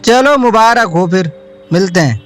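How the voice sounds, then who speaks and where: A man voicing a joking young buddy, high voice. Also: expressive, unhurried. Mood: teasing. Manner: joking, easygoing. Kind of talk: Hindi audio drama acting. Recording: soft music bed underneath.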